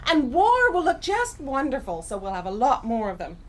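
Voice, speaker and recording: A woman's voice: high voice, wildly swooping pitch, moderate pace, heavily acted. An actress playing a blunt, indignant anti-war mother with a pointed, reproachful delivery in a radio drama.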